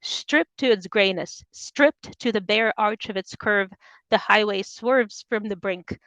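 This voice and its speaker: A woman's voice: mid-range voice, gravelly, fairly bright tone, no room sound, lo-fi sound. A woman from Soviet Ukraine speaking as a poet at a reading, presenting her own work. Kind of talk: poetry reading with informal introductions.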